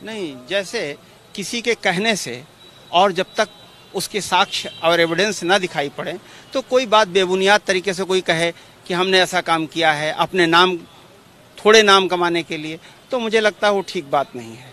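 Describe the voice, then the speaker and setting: High, bright-voiced male lawyer being interviewed. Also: normal speed. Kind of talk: explanatory press interview. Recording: outdoor street noise behind.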